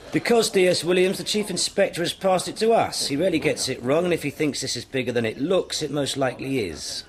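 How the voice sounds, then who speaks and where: An actor playing a stern British senior detective in a radio drama, with a clipped, commanding delivery. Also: male voice, on the high side, animated, brisk, lightly acted. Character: stern, authoritative male senior police detective briefing his team. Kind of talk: BBC radio drama acting.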